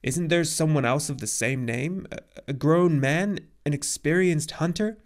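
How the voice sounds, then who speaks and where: A man's voice: mid-range voice, normal speed, darkish tone, natural voice. A man voicing a very old, grave centaur in an audiobook story, with an emphatic, exclamatory manner. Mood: shocked disbelief. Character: very old agitated centaur messenger, grave and weary.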